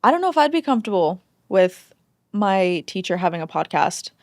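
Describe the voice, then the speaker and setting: A woman's voice: mid-range voice, sing-song, normal speed, a little mellow, no echo, studio quality. A woman chatting casually and candidly as a podcast guest, often acting out remarks she quotes. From Southern United States. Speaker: podcast guest, former teacher.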